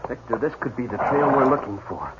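A man's voice: mid-range voice, big pitch swings, brisk, close to an everyday voice. An actor playing an earnest young man following a trail in a 1950s radio drama. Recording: lo-fi old radio with loud hiss.

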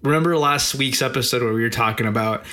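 A man's voice: medium pitch, fast, bright, forceful tone. Joking man on a gaming podcast. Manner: joking, playful. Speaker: podcast co-host. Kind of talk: casual gaming podcast chat.

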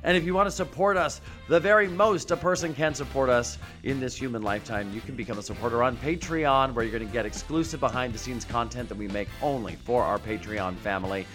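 A man's voice: medium pitch, quick pace, hardly any pauses, music in the background. A man hosting a podcast in a chatty, theatrical style, gushing and playful as he talks. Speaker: podcast host. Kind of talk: chatty podcast monologue and guest introductions.

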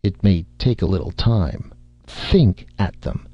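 A man reading aloud, voicing a composed astronomer who speaks in a measured, insistent way, in a slightly muffled dry recording. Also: sits low, expressive, breathy edge, no echo, full character voice. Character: composed, probing male astronomer.

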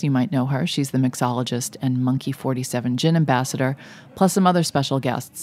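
Low-voiced woman radio host, losing her voice. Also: a little mellow. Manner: chatty, friendly interviewer with fillers and restarts. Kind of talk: casual radio chat.

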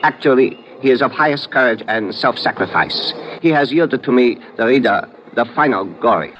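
A man's voice: mid-range voice, expressive, moderate pace, fairly bright tone, close to an everyday voice. A man playing a neat, smug Japanese villain in a 1950s radio drama, with accented, formal and over-polite delivery. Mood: smug triumph. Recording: faintly noisy vintage radio recording.

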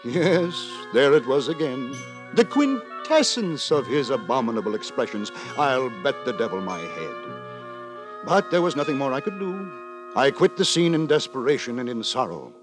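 An actor playing an earnest, moralizing gentleman writer who narrates in florid, theatrical style in a 1950s radio drama. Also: mid-range voice, very animated, moderate pace, lightly acted. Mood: grave narrating. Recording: 1950s radio broadcast with music.

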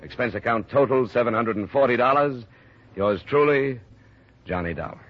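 An American actor playing a world-weary, cynical insurance investigator, narrating in clipped, matter-of-fact hard-boiled 1950s radio style. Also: male voice, medium pitch, normal speed, background noise, lightly acted. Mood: weary, wry. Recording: muffled vintage radio recording. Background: dramatic orchestral music.

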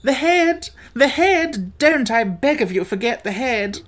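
Storybook giant insect, male, high-pitched, pompous and exclamatory, acted by a narrator. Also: expressive, a little slow, fairly bright tone. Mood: frantic. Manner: exclamatory, insistent.

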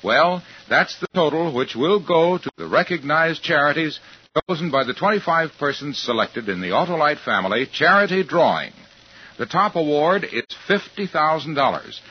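A man's voice: fairly high pitch, husky. A man delivering a 1950s radio commercial as an announcer, emphatic and polished like a salesman. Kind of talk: 1950s radio advertising pitch. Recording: dull vintage radio broadcast recording.

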